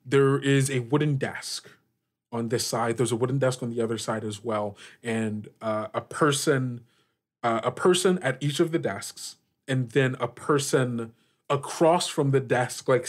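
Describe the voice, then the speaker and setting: Halting man narrating as game master. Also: mid-range voice. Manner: halting, searching descriptions with frequent "like". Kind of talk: improvised tabletop game narration.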